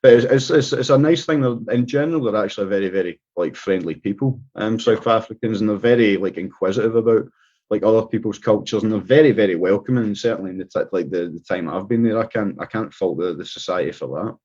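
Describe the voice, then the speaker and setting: A man's voice: fairly low pitch, fast talker, darkish tone, breathy edge. A man from Scotland with a Scottish accent, a guest talking casually in an interview, rambling with many restarts and fillers. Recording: dull lo-fi sound.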